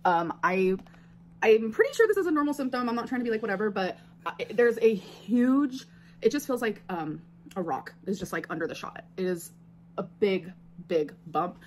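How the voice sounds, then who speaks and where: A woman's voice: medium pitch, expressive, normal speed. A young woman vlogs from a room at home, rambling casually to the camera with plenty of fillers. A faint hiss sits under her voice. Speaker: vlogger. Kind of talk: casual vlog talk.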